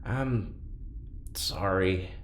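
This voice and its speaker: A man playing an awkward, gentle, self-deprecating test subject in an audio drama, speaking informally and hesitantly. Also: sits low, extremely slow. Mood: awkward, apologetic. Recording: roomy echo, clear ambient noise.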